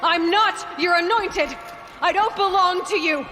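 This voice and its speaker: Stubborn young hunter girl in a video game, earnest, plain-spoken, clear music underneath. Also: extremely high pitch, unhurried, pushed and bright, full character voice. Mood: firm, impassioned. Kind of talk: scripted video game voice acting.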